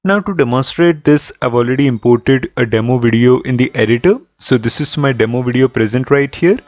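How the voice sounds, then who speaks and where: A man hosting a step-by-step software tutorial, explaining methodically and repeating key points. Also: medium pitch, no echo, dull audio. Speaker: tutorial host.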